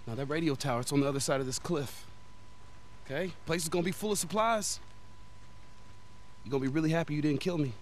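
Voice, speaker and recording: An actor playing a young American apocalypse survivor, protective and talkative, speaking informally and expressively in a video game. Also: male voice, fairly high pitch, quick pace, long pauses, slight background noise, lightly acted. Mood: reassuring. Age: young adult. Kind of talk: naturalistic video game acting.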